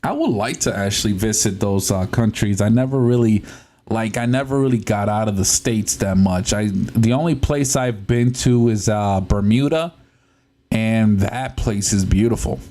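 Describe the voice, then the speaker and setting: A man's voice: fairly low pitch, moderate pace, mellow. A young man from Puerto Rico, a native Spanish speaker, chatting casually on a live stream while he works on a console, often laughing and tripping over words. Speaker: livestream host.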